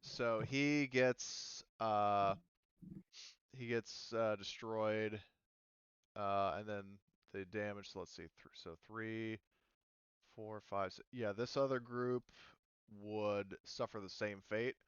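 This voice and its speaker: A man running a tabletop roleplaying game as game master, talking casually and haltingly as he thinks through the rules aloud. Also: fairly low pitch, fairly even intonation, clean tone, dry recording, dull audio. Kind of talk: casual tabletop game refereeing over online session.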